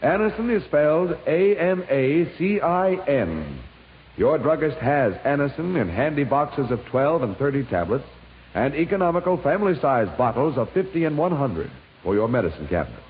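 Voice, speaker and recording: A man reading a scripted 1950s radio advertisement with polished, emphatic, deliberate enunciation. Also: fairly high pitch, very animated, narrow, tinny audio. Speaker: commercial announcer. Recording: thin vintage radio with faint hiss.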